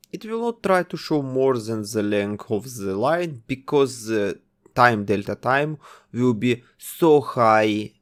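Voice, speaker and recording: Man with a lively voice narrating a coding tutorial. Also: mid-range voice. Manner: explains code step by step while testing it. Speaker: game development tutor. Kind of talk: screen-recorded coding tutorial.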